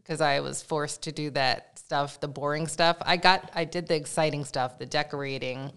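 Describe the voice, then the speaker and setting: A middle-aged woman, a podcast guest, telling stories in a chatty, animated way. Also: low-pitched, normal speed, smooth. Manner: chatty, animated storytelling with laughs. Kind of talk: casual conversational storytelling.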